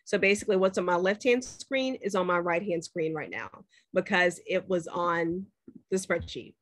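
A woman's voice: on the low side, normal speed, fairly bright tone, no echo, clean studio sound. Chatty woman teaching a class. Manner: conversational, checks in with students. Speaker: professor. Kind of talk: screen-share class demo.

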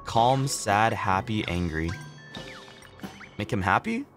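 A man's voice: fairly low pitch, animated, slow pace, fairly bright tone, soft background music. A young man livestreaming a video game with casual, teasing commentary full of slang and swearing. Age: young adult.

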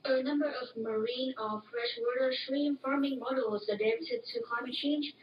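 A woman with a high voice reads scripted news over shortwave radio. The sound is dull and comes through speakers in an echoey room, with faint noise. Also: steady tone, normal speed, far from the mic. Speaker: news translator, reader.

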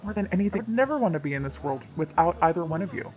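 A reader voicing an earnest, gentle young husband and father-to-be in a storybook tale, speaking plainly and sincerely. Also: mid-range voice, expressive, racing, echoey room, muffled recording, lightly acted. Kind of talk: reading a story's dialogue and letter.

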